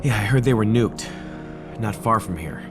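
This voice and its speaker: A man acting as an earnest war correspondent in a video game, speaking in a solemn, deliberate, weary yet hopeful way. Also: fairly low pitch, expressive, natural voice. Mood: wry. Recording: loud music under the voice.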